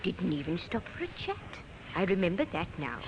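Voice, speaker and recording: An actress playing a chatty, obliging woman clerk at a post office in a radio crime drama, brisk and sure of herself. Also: fairly low pitch, wildly swooping pitch. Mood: chatty, helpful. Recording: muffled, noisy old radio recording.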